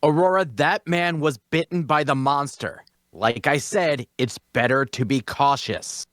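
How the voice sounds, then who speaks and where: A man's voice: a little high, a little slow, fairly bright tone, dry recording, studio quality. A man playing the stern, cautious leader of a band of fantasy adventurers in an audio drama. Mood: commanding. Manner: commanding, questioning. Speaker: actor in an audio drama table read.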